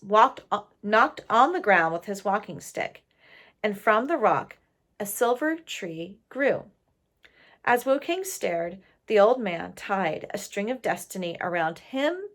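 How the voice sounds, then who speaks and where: Female storybook reader, unhurried pace, very animated intonation, speaking to listeners. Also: medium pitch. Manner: pauses to question listeners. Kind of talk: expressive read-aloud to listeners.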